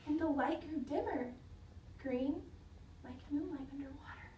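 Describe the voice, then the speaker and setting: A woman teacher reading a children's story aloud in a dramatic, expressive way, pausing to question her listeners. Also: sits high. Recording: roomy, distant mic, faint noise.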